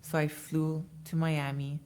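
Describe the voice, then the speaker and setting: A woman speaks in a low register. As an interview guest she tells her story in an easy, chatty way, full of fillers. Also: little pitch movement, a little slow, darkish tone. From Montreal, Canada. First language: French. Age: 20s. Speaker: interview guest, bikini competitor. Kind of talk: casual interview talk.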